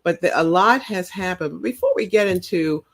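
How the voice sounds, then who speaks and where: A woman hosting a podcast, introducing and questioning her guest in casual chat with encouraging prompts and quick laughs. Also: fairly low pitch, vocal fry. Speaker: podcast host.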